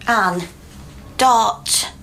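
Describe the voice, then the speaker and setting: An actress playing a fussy, practical, good-humoured miner's wife with a Northern English accent in a radio drama. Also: female voice, fairly high pitch, very slow, gravelly, fairly bright tone, slight background noise, heavily acted. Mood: brisk, cheeky. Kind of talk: naturalistic radio drama acting.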